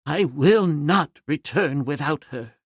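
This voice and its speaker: A man playing an aged professor worn down by grief, speaking with slow, heavy resolve. His lines are measured and solemn, with a lively lilt of pitch. The sound is close and dry. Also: gravelly, slightly rough, dry recording, heavily acted. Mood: grave resolve. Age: older. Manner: slow, grave, resigned speech. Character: grief-stricken elderly male professor, solemn.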